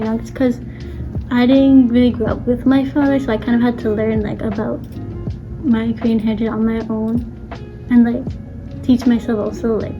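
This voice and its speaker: A woman's voice: on the high side, lo-fi sound. A young woman from New Jersey, a student, answering interview questions hesitantly with constant fillers. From New Jersey, USA. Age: young adult.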